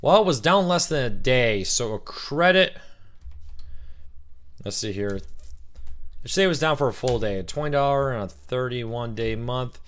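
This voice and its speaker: A man with a slightly rough, worn voice after a weekend of cheering, hosting a relaxed live-stream Q&A. He chats easily, greets viewers by name and works through their questions one by one. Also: mid-range voice, moderate pace, long pauses. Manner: chatty, reads out viewer comments and greets them by name.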